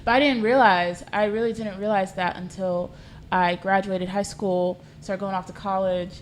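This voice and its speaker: A woman's voice: mid-range voice, fairly even intonation, moderate pace, a little hiss or hum. A woman in her twenties from South Sudan, a podcast guest chatting casually and filling her talk with "like" and "um". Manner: chatty, full of fillers like "like" and "um". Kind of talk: casual chat.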